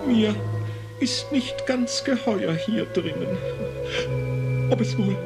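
A man voicing a timid, grumbling grand vizier turned stork, hesitant and excitable, in a children's puppet play. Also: sits high, slow pace, over loud music. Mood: timid, reluctant. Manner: hesitant, excitable, comically fretful. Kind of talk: German children's puppet theatre acting.

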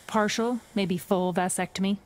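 Woman playing a tough, scornful game fighter, medium pitch. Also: steady tone, moderate pace, background noise, close to an everyday voice. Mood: scornful, irritated. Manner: curt, sarcastic, dismissive. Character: tough, no-nonsense, scornful female fighter, adult. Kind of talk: video game character dialogue.

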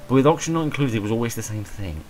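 A man in his twenties with a hoarse voice, talking in a rambling, self-deprecating way about his channel and stumbling over repeated words from a small room at home. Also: medium pitch, lively intonation, racing, slight background noise. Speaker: channel creator. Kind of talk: rambling personal monologue.

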